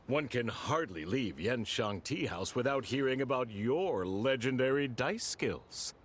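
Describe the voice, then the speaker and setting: A man playing a genteel, flattering antique collector in a video game, with florid, unctuous courtesy. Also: medium pitch, slight background noise. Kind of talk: video game character voice acting.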